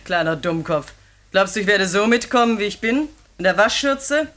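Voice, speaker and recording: An actress playing a confident, flirtatious village seductress in a 1950s radio drama, teasing, coquettish and mocking. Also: female voice, medium pitch, animated, moderate pace, a bit bright, lightly acted. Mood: sly, defiant. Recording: vintage 1950s radio play recording.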